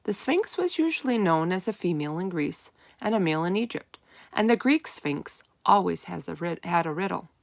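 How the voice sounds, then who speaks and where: A woman reading as a patient woman schoolteacher from a children's storybook. She addresses her class in an instructive, encouraging way, with a slightly creaky voice in a dry recording. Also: fairly low pitch, sing-song, moderate pace, dry recording.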